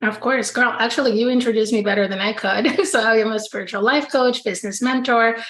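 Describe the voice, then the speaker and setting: A woman's voice: on the high side, brisk, a bit bright. A woman from the Dominican Republic speaks as a podcast guest, casual and familiar in tone. She talks about her work openly and in a friendly way. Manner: friendly, familiar, says "girl" often. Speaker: spiritual life coach, guest. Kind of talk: casual interview chat.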